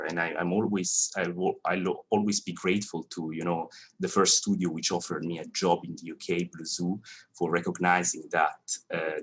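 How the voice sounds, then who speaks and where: A man's voice: low-pitched, fairly bright tone, slightly rough. A man in his thirties with a Swiss Italian accent, an animator giving a friendly, hesitant talk to students. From Italian-speaking Switzerland. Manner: hesitant, many fillers and restarts, friendly. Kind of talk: presentation to students. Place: college lecture room.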